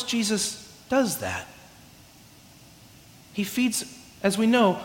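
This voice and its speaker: A man from California giving a chatty, self-deprecating sermon with humor, often restarting his phrases, in a church sanctuary. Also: sits high. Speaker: preacher. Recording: echoey hall reverb.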